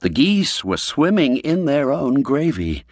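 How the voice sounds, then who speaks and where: A man narrating a classic tale as a dramatic audiobook, theatrical and savouring each phrase with pauses. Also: mid-range voice, very animated, a little mellow, no echo, studio quality. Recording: music under the voice. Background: music.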